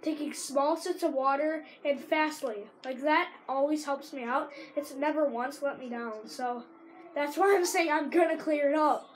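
A teenager with a sore throat rambling casually while commentating a video game, breaking into excited shouts at plays. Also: female voice, high-pitched, moderate pace, a little hiss or hum, low-quality recording. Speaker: gamer.

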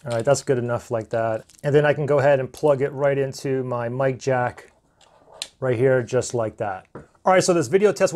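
A man in his thirties talking through a camera microphone test step by step, in a relaxed way with fillers, recorded indoors on a camera-mounted shotgun mic. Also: medium pitch. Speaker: gear reviewer. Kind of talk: casual how-to walkthrough. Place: indoor room, desk demo.

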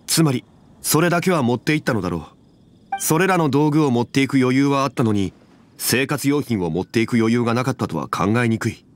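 An actor playing a composed, dignified gentleman consultant in an anime game, reasoning in a measured, formal way. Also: mid-range voice, expressive, a little hiss or hum, natural voice. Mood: pensive. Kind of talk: Japanese anime game voice acting.

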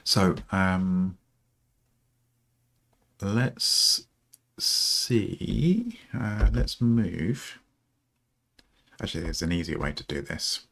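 A middle-aged man with a low, gravelly voice, still rough from just getting up. He talks at an unhurried pace, halting often with ums as he thinks aloud through his work. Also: very animated, fairly bright tone. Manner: slow, halting, with many ums. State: rough morning voice. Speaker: programmer streaming a coding session. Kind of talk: thinking aloud while coding.

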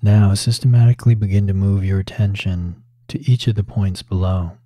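A man with a very soft, dark, relaxed voice on the low side guides a meditation. He speaks calmly and deliberately, leaving space between short instructional phrases. The recording is close and dry. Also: dry recording. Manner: calm, measured, with long pauses between short phrases. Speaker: yoga teacher leading guided meditation.

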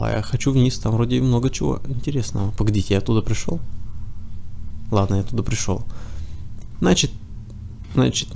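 Gravelly, dark-voiced man with a cold. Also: fairly low pitch, a little hiss or hum. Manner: chatty, talks to himself and to enemies. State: has a cold. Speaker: let's play gamer. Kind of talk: casual gameplay commentary.

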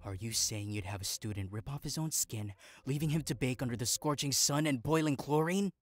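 A man's voice: medium pitch, a little fast, faint music behind, natural voice. A man voicing a melodramatic, anxious teenage student council president in an anime fan dub. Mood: gloomy, dramatic. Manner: overdramatic.

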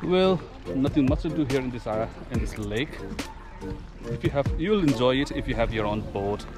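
A man's voice: medium pitch, expressive, moderate pace, music in the background. A man in his forties vlogging outdoors by a lake, talking into a camera held at arm's length in a chatty, loose way. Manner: chatty, loose informal phrasing. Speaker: travel vlogger. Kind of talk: casual vlog narration.

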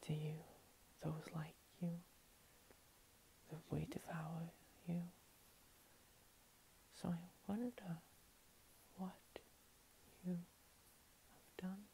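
A woman's voice: lowish voice, poor audio. Woman playing a whispering, menacing vampire princess. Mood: cold curiosity. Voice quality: soft, breathy whisper. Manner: slow, teasing, condescending, with lingering pauses. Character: menacing, playful female vampire cat-girl princess, centuries-lived predator. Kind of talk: whispered ASMR roleplay. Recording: steady hiss.

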